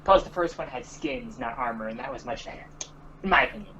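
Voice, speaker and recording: A man's voice: mid-range voice, expressive, fast talker, bright, forceful tone, muffled recording, slight background noise. A man giving casual opinions and commentary as a review co-host.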